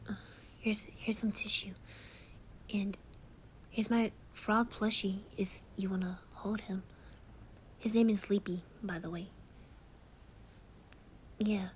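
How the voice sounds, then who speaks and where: A woman voicing a gentle young boy who comforts his best friend in an ASMR roleplay, speaking softly and often in a whisper. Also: medium pitch, steady tone, slow, pauses often, slight background noise, close to an everyday voice. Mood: soothing. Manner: soft, soothing, often whispered.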